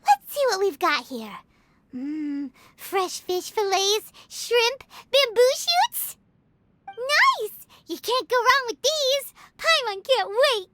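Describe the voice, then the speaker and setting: A woman voicing a tiny, childlike female fairy companion in a video game, cheeky, blunt and food-loving, with a squeaky voice. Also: slow pace, heavily acted. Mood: eager, curious. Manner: excitable, blunt, third-person chatter. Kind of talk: animated video game voice acting. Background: background music.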